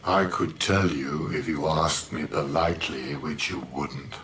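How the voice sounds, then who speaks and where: A very low-voiced, miserable robot from a radio drama. It drones in a sardonic deadpan, superior and full of contempt for everyone around it. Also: male voice, measured pace, background noise. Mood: deadpan sulking. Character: gloomy, contemptuous, depressed robot with a superior intellect. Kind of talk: radio comedy drama acting.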